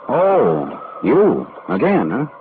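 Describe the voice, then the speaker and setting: A man's voice: medium pitch, wildly swooping pitch, extremely slow, heavily acted. A man playing a wisecracking hard-boiled private detective, dry and clipped, in 1940s radio acting. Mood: wry. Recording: tinny old radio broadcast, faint hiss.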